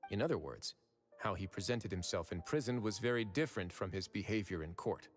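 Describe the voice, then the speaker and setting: A man's voice: fairly low pitch, expressive, fairly quick, lightly acted. A man voicing a composed, authoritative prison administrator in a video game, articulate and matter-of-fact. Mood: composed, explanatory. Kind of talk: video game character voice acting. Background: music.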